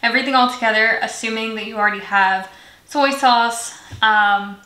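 A woman's voice: a little high, fairly even intonation, normal speed, bright, forceful tone. A young woman hosting a cooking video in her home kitchen, explaining each step in a friendly, chatty way. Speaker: cooking channel host. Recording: echoey kitchen with sizzling pan noise. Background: frying and stirring sounds.

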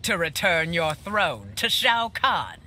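Slow, proud warrior woman. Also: fairly low pitch, big pitch swings, bright, forceful tone, exaggerated voice. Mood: haughty. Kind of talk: scripted video game fight dialogue.

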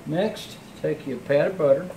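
A man's voice: a little high, unhurried, warm tone, soft background music, poor audio. A middle-aged man, chatting folksily while demonstrating a recipe in his home kitchen. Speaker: home cooking show host. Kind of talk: casual cooking demonstration. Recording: sizzling frying pan behind.

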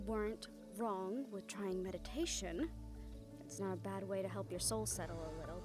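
Higher-voiced, tender woman playing a supernatural mentor. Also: close to an everyday voice. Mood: gentle, coaxing. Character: caring, encouraging supernatural woman, a siren-banshee mentor. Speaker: audio roleplay performer. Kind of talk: intimate audio roleplay acting.

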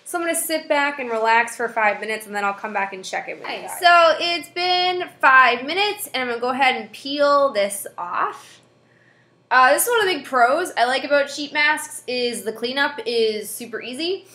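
A woman's voice: high-pitched, lively intonation, normal speed, bright, forceful tone, roomy sound. Stuffed-up young woman vlogging chattily. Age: young adult. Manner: chatty, friendly, with frequent fillers. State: stuffed-up nose from a head cold. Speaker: beauty vlogger. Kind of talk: casual product review. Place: small room indoors. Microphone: webcam at desk distance.

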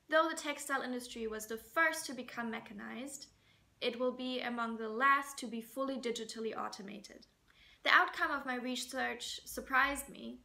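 A woman in her twenties giving a prepared keynote in a measured, formal, articulate way. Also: on the high side, gravelly, sharp tone. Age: 20s. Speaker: fashion designer giving a keynote. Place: indoors, plain room at home.

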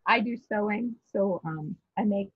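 Husky woman on a tinny video call. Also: mid-range voice, measured pace, no echo. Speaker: guest, shop co-owner. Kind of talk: casual video call interview. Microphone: shared video call. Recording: tinny phone-like call audio.

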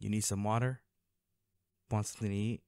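An actor playing a protective, worried male bodyguard in an intimate, dramatic audio roleplay, with halting, emotional delivery. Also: fairly low pitch, fairly even intonation, dark tone, clean tone, dry recording, studio quality, lightly acted. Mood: stern, restrained.

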